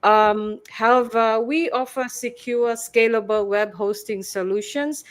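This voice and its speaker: A woman's voice: fairly high pitch, unhurried. A woman from Fiji giving a prepared promotional presentation as a panelist, formal and sounding read from notes. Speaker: panelist, online business owner.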